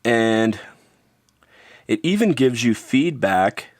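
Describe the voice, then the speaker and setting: A man's voice: mid-range voice, sing-song, slow, no echo, clean studio sound. A man with a North American accent narrating a casual gadget review in an offhand, informal, slightly wry way. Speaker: product reviewer.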